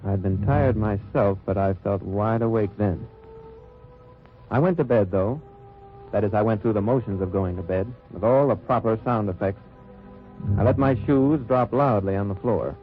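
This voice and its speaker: Undercover agent, wartime radio drama male actor: low, measured, wry narration, muffled vintage sound. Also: lightly acted. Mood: suspenseful, watchful. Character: cool, watchful undercover agent narrating his wartime mission. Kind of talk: 1940s-50s radio drama acting, first-person narration. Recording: muffled old-time radio recording with music.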